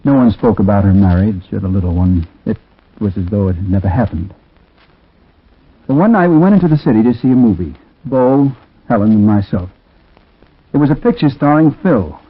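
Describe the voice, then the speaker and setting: A man's voice: fairly low pitch, animated, fairly quick, breathy edge, no room sound. An actor playing a troubled man who narrates an eerie story in 1940s radio drama style, reflective and conversational with hesitant asides. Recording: tinny, muffled 1940s radio recording.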